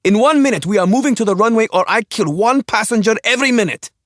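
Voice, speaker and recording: A man's voice: sits high, animated, fast, fairly bright tone, dry recording, clean studio sound, voice pushed up. A man playing an exhausted, panicky hijacker in his twenties, screaming threats to sound authoritative in an audiobook thriller. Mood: screaming, menacing. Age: 20s.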